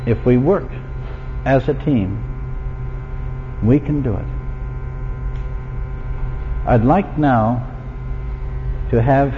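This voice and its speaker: A man addresses a gathered audience in a slow, measured way, pausing between short phrases. His voice is middling in pitch and comes through thin and phone-like. A long hall reverb hangs on every word. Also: a little hiss or hum. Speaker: event host. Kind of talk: slow, deliberate talk to an audience.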